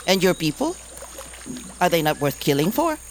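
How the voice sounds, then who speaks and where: Grave old bear grandmother, deep-toned. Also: very animated, slight background noise. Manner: solemn, measured, oracular. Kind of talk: audio drama acting.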